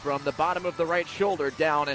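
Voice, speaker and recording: A man doing live radio play-by-play commentary of a hockey game, describing the action in crisp detail. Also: on the high side, fairly even intonation, fairly quick. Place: indoor ice hockey arena. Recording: noisy live arena broadcast. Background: loud arena noise.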